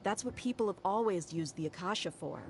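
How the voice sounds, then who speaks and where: A woman's voice: medium pitch, expressive, brisk, background noise. A woman streamer reading video game dialogue aloud.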